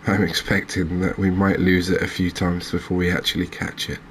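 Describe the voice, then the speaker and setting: A man giving soft-spoken, relaxed ASMR-style game commentary in a hesitant, musing, understated way, as a gaming video creator. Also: low-pitched, brisk, slight background noise.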